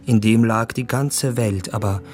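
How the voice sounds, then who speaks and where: Man as an earnest, low-voiced young visitor. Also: measured pace, soft background music, natural voice. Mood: wondering. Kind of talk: literary radio play, reflective narration and dialogue.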